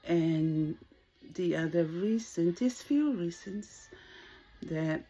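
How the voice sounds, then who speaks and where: A woman's voice: lowish voice, lively intonation, slow pace, warm tone, poor audio. A Samoan woman in her sixties, speaking English as a second language, talking to camera in a hesitant way as she searches for words. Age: 60s. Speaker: vlogger. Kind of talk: casual talk to camera.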